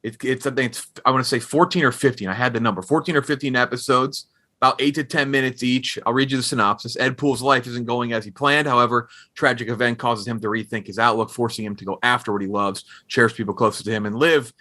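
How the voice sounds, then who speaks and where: Fast-talking, bright-voiced man hosting interviews. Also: medium pitch, fairly even intonation. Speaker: podcast host interviewer. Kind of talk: casual interview chat.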